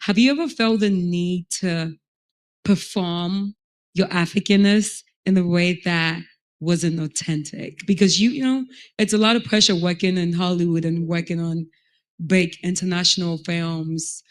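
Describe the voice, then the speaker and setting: A woman's voice: on the low side, steady tone, measured pace. A woman from Ghana moderating a panel, speaking in a reflective, conversational way with frequent fillers.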